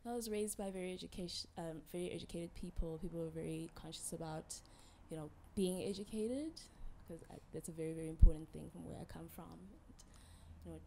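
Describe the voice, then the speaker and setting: A young woman from the Eastern Cape, a lively guest in a casual interview who laughs easily. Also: on the low side, fairly quick, gravelly, a little hiss or hum. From Eastern Cape, South Africa. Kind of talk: casual conversation. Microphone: handheld mic.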